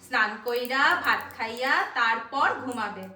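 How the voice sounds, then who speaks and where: Woman acting shrill, bossy East Bengali housemaid. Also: normal speed, pushed and bright. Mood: coaxing. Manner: insistent, nagging, argumentative. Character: bossy, hot-tempered village housemaid and minder. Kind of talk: comic Bengali audio drama acting. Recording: roomy, distant mic with faint noise.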